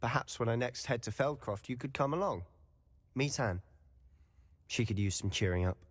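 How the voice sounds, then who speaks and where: A man's voice: mid-range voice, lively intonation, moderate pace, slightly rough. A man voicing a confident, inquisitive teenage wizard schoolboy in a video game, with a British accent and a conversational, conspiratorial manner. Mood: wistful, friendly. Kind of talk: scripted video game dialogue.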